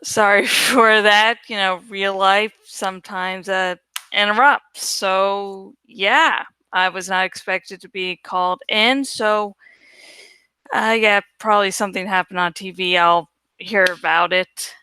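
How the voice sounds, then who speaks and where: A woman's voice: medium pitch, fairly even intonation, slow pace, pushed and bright, clear, resonant tone, dry recording. A woman chatting casually and informally as host of a live book-reading show. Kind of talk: casual live intro chat.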